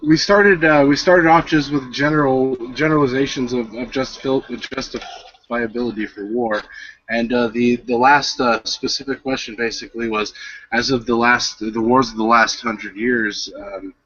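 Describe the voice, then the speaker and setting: A man hosting a casual discussion on a video call, measured and clarifying, with fillers. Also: mid-range voice, steady tone, a bit bright, no room sound. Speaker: host. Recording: dull online call audio.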